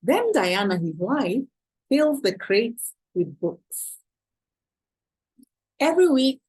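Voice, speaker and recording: A woman's voice: on the high side, husky, low-quality recording. A woman reading a picture book aloud to children, expressively and with questions to the listeners. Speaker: children's storyteller.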